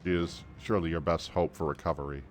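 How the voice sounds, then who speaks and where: A man's voice: deep voice, fairly even intonation, fast, soft background music, close to an everyday voice. A man voicing a courteous, stately military commander in a fantasy video game. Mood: courteous, grave. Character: courteous, formal military commander of a forest city.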